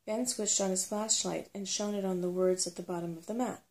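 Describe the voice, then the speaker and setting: A woman's voice: mid-range voice, steady tone, fairly quick, a little mellow. A woman reading a children's fantasy novel aloud as ASMR storybook narration. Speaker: storybook reader.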